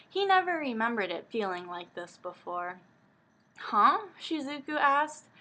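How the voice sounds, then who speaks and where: Bright-voiced woman reading with mock drama. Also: mid-range voice. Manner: sweary, exasperated, mocking. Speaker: host reading a book aloud.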